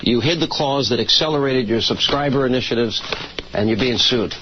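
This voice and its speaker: A man's voice: medium pitch, fairly quick. Confrontational male TV interviewer. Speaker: television interviewer. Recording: dull TV clip, faint noise.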